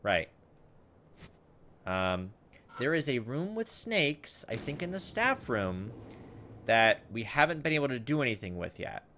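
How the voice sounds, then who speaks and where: A man's voice: mid-range voice, very animated, unhurried, long pauses, bright, forceful tone, a little hiss or hum. A man giving casual gameplay commentary as a let's play host, thinking aloud in a hesitant, musing way.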